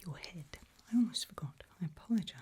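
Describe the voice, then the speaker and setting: A polite tailor to monsters, played by a woman in an ASMR roleplay. She speaks in a very breathy, hushed whisper, low in register. Her delivery is unhurried, courteous and reassuring, attentive to her client. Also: animated. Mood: soft, courteous. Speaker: ASMR performer.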